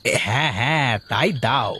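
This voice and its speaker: A man's voice: medium pitch, moderate pace, sharp tone, a little hiss or hum, natural voice. A man playing a humble, cheerful blind village fish seller in a cartoon, with an eager, simple, folksy manner. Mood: content. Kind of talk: cartoon voice acting.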